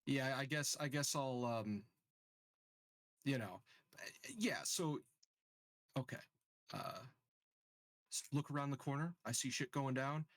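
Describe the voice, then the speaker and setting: A man's voice: medium pitch, a little slow, long pauses, a bit bright, no echo, clean studio sound. A man chatting casually as a player in a tabletop game, loose and salty in his talk. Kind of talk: casual chat during a game.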